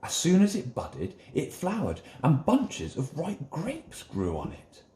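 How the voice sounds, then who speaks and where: Man playing eager male servant, wondering, emphatic, raised pitch, Bible storytelling, roomy. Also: wildly swooping pitch, takes it slowly, mellow, exaggerated voice. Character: chief wine taster, eager male royal servant recounting dream.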